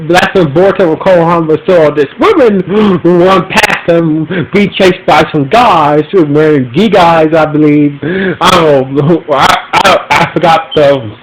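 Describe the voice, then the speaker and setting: A congested, stuffy-nosed man recaps an episode for his podcast, circling back and repeating himself as he goes. His voice sits a little high for a man. A faint fan hum runs behind him in his room at home. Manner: rambling, repeats phrases and restarts often. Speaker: podcast host reviewing an episode. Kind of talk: casual spoken episode recap. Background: humming fan.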